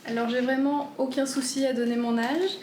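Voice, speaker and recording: Woman, 30s, vlogger, gentle chatty hesitant talk, faint background hum. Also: high voice, steady tone, moderate pace, echoey room. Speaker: vlogger answering viewer questions. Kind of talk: casual FAQ chat. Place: at home.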